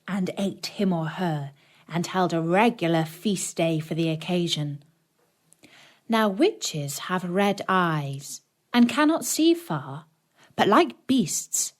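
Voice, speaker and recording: A woman narrating a scripted children's story in a dramatic, deliberate storytelling manner. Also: fairly low pitch. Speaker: audiobook narrator.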